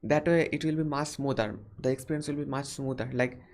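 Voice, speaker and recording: A man's voice: medium pitch, fairly even intonation, fairly quick, a little hiss or hum. A young man with an Indian accent, hosting a casual live question-and-answer stream and rambling with many fillers and restarts. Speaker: livestream host answering viewer questions.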